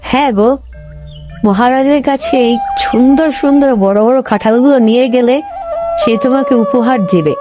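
A woman's voice: high voice, normal speed, close to an everyday voice. A woman voicing a supportive, curious village wife in an animal fable cartoon, with a homely, inquisitive way of talking. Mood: encouraging. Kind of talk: cartoon voice acting. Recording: music behind the voice.

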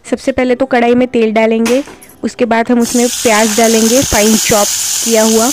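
A woman hosting a home cooking channel, calmly explaining a recipe step by step in a voice-over. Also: on the high side. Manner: calm, instructive, homely explanations.

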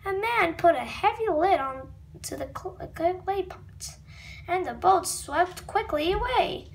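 Very high-pitched child reading aloud, slowly. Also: animated, gravelly. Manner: stumbles over words, wanders off into asides. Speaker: read-aloud video host. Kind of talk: reading a comic book aloud with asides. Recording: noisy home recording with room ambience.

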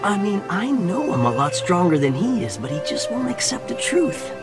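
A man's voice: high voice, huge pitch swings, moderate pace, loud music behind the voice. An actor playing an easygoing, teasing yet resolute young male ninja in an anime dub, casual and earnest. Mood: playful teasing.

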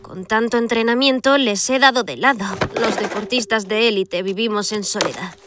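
A high-voiced young cartoon puppy girl acted by a woman with a Castilian Spanish accent. She is an earnest, downhearted athlete. Music plays behind her. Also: moderate pace, natural voice. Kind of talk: children's cartoon dubbing.

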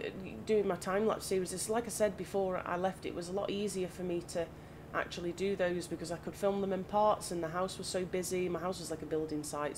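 A woman with a slightly croaky voice from hay fever, chatting casually and thinking aloud as she plays. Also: on the low side. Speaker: gaming channel host. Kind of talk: casual gameplay commentary. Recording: audible background noise.

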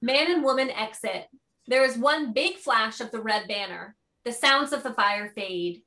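A woman's voice: fairly high pitch, measured pace, pushed and bright, rough recording. A woman narrator reading stage directions as scripted narration.

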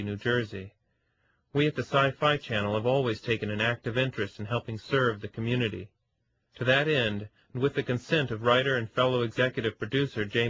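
A man speaking as a producer, reading a formal, serious announcement in a measured way. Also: mid-range voice, a bit bright, close to an everyday voice. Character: sober male producer reading a public appeal. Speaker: executive producer making an announcement. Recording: slightly dull lo-fi audio.

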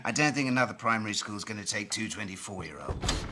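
A man's voice: on the low side, expressive, fast, very noisy, close to an everyday voice. An actor playing an exasperated middle-aged baron and stepfather in a comic pantomime, on a video call.

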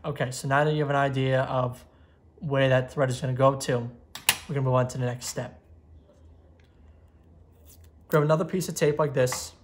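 A man's voice: mid-range voice, a little fast, a little hiss or hum. A man in his twenties giving a step-by-step tutorial at an indoor workbench, recorded on a lapel mic. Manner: steady step-by-step instruction. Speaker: magazine editor giving a tutorial. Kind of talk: how-to tutorial.

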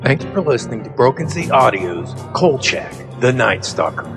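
A man's voice: mid-range voice, sing-song, moderate pace. A man reading scripted closing credits as an audio drama announcer. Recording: long reverb, loud music bed.

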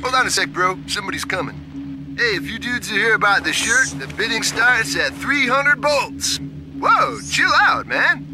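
A man's voice: high-pitched, sing-song, normal speed, noisy background. A man voicing a laid-back, dim alien surfer dude in a video game, with slangy slacker talk. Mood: casual.

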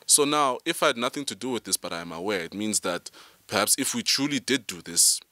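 A young man from Cameroon co-hosting a podcast conversation, probing and animated, repeating phrases for emphasis. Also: lowish voice, brisk, dry recording. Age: young adult. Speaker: podcast co-host. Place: small indoor podcast studio. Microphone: microphone on a stand.

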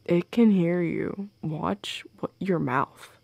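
Soft, creaky-voiced young woman, playfully intimate. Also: on the low side, slow pace, no echo. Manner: playful, affectionate, teasing, soft and breathy. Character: playful, affectionate goth girlfriend. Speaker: audio roleplay performer playing a girlfriend. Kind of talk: intimate girlfriend audio roleplay, ASMR-like. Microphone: close microphone, dry.

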